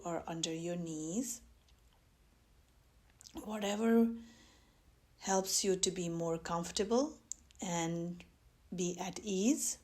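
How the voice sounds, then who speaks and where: A woman with a slightly low voice leads a guided meditation. She speaks very slowly and gently, leaving long pauses between measured phrases. She sounds like a mindfulness teacher giving careful instructions.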